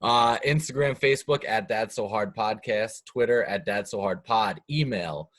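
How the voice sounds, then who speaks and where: A man's voice: on the low side, normal speed, a bit bright. A man co-hosting a podcast, chiming in with brief, dry teasing remarks. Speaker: podcast co-host. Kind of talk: casual podcast chat.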